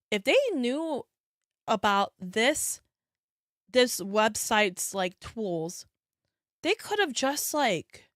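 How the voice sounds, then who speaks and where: Lively chatty woman reacting. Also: on the high side, no echo, studio quality. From United States. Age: 30s. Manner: chatty, sympathetic, frequent gasps and sighs. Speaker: VTuber streamer reacting to a video. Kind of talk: casual reaction commentary.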